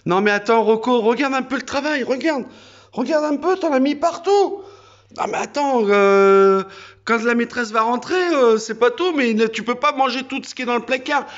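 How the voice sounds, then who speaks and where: A man's voice: very high-pitched, moderate pace, highs cut off. A man from France chatting casually at his country home on a phone video, rambling with many fillers. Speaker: vlogger. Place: house in the countryside.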